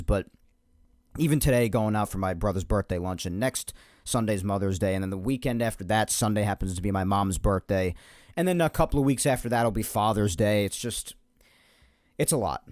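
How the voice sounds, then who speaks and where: A young man hosting a solo sports podcast, energetic and rambling, his voice slightly hoarse. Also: fairly low pitch, no echo, studio quality. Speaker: podcast host.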